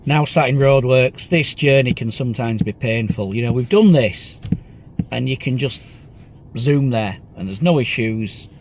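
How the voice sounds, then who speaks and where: A man's voice: mid-range voice, unhurried. Man vlogging from his car. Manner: chatty, rambling, many ums. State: bunged up with a cold. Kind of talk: casual driving commentary. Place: inside a car. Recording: loud road noise.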